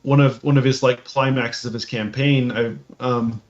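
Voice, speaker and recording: A man chats casually as a podcast co-host, with a middling pitch and slightly muffled, lo-fi sound. He speaks haltingly, repeats his phrases and often seeks agreement. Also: fairly even intonation. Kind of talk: casual discussion of tabletop roleplaying games.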